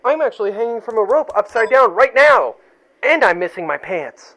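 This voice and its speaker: A man's voice: high-pitched, very animated, moderate pace, a bit bright, heavily acted. A man playing a hapless, chattering squad soldier in a comic audio drama. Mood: earnest, dismayed. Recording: dull lo-fi sound, faint noise.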